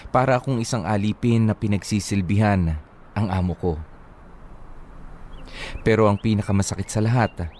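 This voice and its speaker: A man's voice: fairly low pitch, mellow, a little hiss or hum. A man reading a listener's letter as dramatic first-person narration, as a radio drama host.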